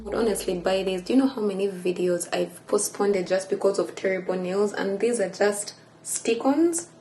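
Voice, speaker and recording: A woman's voice: medium pitch, moderate pace, over music. A woman, a Luganda speaker, chatting casually and playfully in a lifestyle vlog. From Uganda. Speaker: vlogger. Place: indoors at home. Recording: echoey room with clear background noise.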